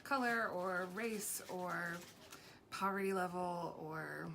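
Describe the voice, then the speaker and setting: Low, gravelly young American woman. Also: takes it slowly, fairly bright tone. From Washington State, USA. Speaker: interviewee. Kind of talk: casual interview answers. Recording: noisy background.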